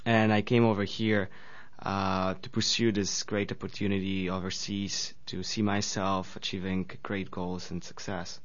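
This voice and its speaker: A man's voice: low-pitched, level tone, a little slow. A young man in his twenties from Poland with a Polish accent, a student guest talking earnestly in slightly nonstandard phrasing. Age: 20s. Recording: dull lo-fi radio recording.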